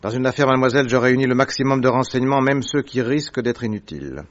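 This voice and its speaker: Guy doing a sharp detective firing off crisp questions, middle-pitched voice, muffled old radio-play sound. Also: fast, natural voice. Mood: probing. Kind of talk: vintage radio drama acting. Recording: dull lo-fi vintage radio recording.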